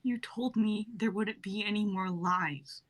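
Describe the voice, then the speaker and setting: A woman's voice: mid-range voice, unhurried, lightly acted. A woman reading the part of a hurt young wife confronting her husband in a live-streamed script reading. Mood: bitter, accusing. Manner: accusing, bitter.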